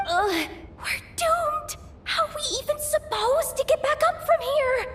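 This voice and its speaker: A woman voicing a young female treasure-hunting guide in a game, flustered, stammering and full of bluster though soft-hearted underneath. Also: sits high, lively intonation, slow, soft background music, heavily acted. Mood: anxious, despairing. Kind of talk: animated game voice acting.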